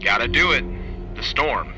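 A man playing a gruff, impatient supply boat seaman in an audio drama, curt and unyielding. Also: mid-range voice, lively intonation, normal speed, over music, lightly acted, rough recording.

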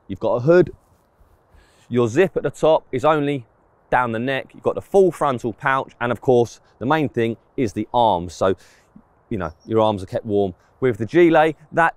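A man's voice: medium pitch, smooth, no room sound. A man, giving a casual, plain-spoken product review to camera, outdoors on a close mic. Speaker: presenter of fishing clothing reviews. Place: outdoors in an open grass field.

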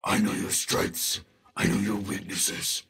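A menacing villain god, evil and deliberate. The voice is low and gravelly, heavily rasping, with a cold threat in its measured delivery. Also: male voice, level tone, a bit bright, soft background music, exaggerated voice. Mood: cold menace. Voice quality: gravelly, gruff.

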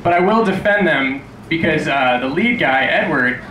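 A man's voice: fairly high pitch, expressive, normal speed, bright, forceful tone. A man in his twenties doing a sarcastic, profane stand-up routine at an open mic, ranting at his own expense. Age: 20s. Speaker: stand-up comedian. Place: small dim club stage, indoors. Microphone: handheld stage microphone. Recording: echoey room with clear background noise.

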